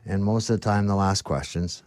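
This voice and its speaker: A man chatting casually as a radio guest, plain-spoken and a little halting. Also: low-pitched, darkish tone, no room sound. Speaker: guest, thrift store manager. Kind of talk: casual radio interview chat.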